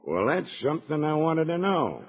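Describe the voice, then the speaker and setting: A man's voice: on the high side, very animated, normal speed, slightly rough, full character voice. A man playing a sly, bullying kidnapper boss in an old-time Western radio serial. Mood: menacing. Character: menacing male kidnapper gang boss, threatening and sly. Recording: noisy, tinny old radio recording.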